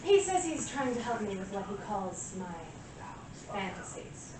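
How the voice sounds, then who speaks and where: An actress playing a vain, deluded woman who believes everyone desires her, in a deliberate theatrical stage monologue. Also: mid-range voice, sing-song. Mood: smug, boastful. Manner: self-adoring, deliberate delivery with pauses. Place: small live stage venue with audience. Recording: echoey room from far off, noisy.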